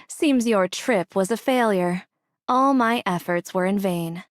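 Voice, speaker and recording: A woman's voice: medium pitch, slow, dry recording, studio quality, lightly acted. A woman playing a cold, scheming mastermind in an anime game, with a condescending, cutting and controlled manner. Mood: mocking. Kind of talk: scripted anime game dialogue.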